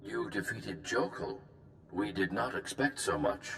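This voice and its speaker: An actor playing a stern, solemn alien leader in a video game, speaking in formal, clipped phrases. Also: male voice, on the low side, animated, measured pace, creaky, fairly bright tone, a little hiss or hum, heavily acted. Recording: roomy, distant, music behind.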